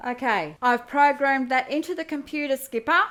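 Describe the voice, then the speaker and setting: A woman's voice: high voice, normal speed, a bit bright. A woman playing a keen, focused female spaceship navigator in an amateur radio play. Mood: brisk. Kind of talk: radio drama acting.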